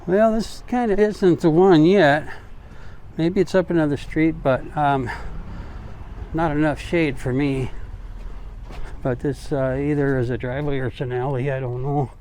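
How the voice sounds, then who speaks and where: Folksy man vlogging outdoors, slightly high voice. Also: fairly even intonation, measured pace, darkish tone. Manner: folksy, joking, informal mild swearing. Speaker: travel vlogger. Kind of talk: casual rambling walking vlog chat. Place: outdoors, walking along streets. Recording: outdoor street noise, passing vehicles.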